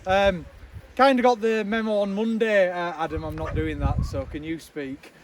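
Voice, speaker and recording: A young man giving a loud, chatty speech outdoors at a charity launch, with many ums and joking asides. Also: sits high, steady tone, normal speed. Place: outdoors in the snow with a crowd. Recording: noisy outdoor recording.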